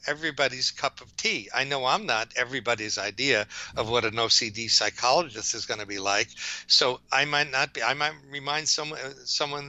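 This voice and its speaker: An older man with a New York accent answering interview questions in a rambling, halting way, often correcting himself as he reflects. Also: mid-range voice, pushed and bright, no room sound, low-quality recording. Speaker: OCD psychologist, interview guest.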